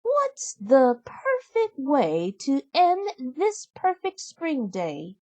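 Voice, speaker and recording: A woman voicing a sweet, cheerful young princess in a children's storybook, speaking in a gentle, pleased manner. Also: high-pitched, wildly swooping pitch, very slow, no echo, voice pushed up, full character voice. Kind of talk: children's storybook read-aloud.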